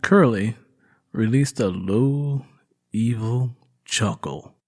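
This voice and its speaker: A man's voice: medium pitch, slow, darkish tone. A man narrating a story in a dramatic, scripted audiobook style. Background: music.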